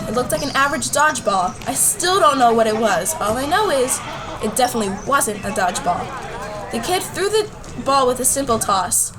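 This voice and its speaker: High-voiced high school girl narrator, voiced by a woman. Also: natural voice. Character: bold, competitive high school girl telling her story. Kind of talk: scripted first-person radio drama narration.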